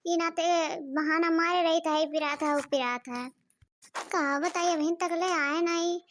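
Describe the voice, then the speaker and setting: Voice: very high voice, fairly even intonation, measured pace, fairly bright tone, slight background noise. A cartoon performer voicing a bossy, complaining village mother-in-law with an Awadhi accent and a childlike voice, scolding and grumbling. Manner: scolding, grumbling, addresses viewers directly. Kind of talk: exaggerated cartoon comedy dialogue.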